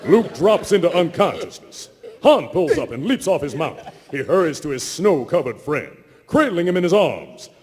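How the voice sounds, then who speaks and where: Male narrator, dramatic live reading, animated intonation, slightly high, reverberant hall, background noise. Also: moderate pace.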